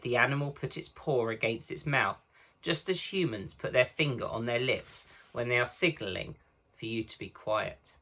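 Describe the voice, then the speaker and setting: A man's voice: medium pitch, pushed and bright. A man narrating a children's storybook aloud as a teacher would.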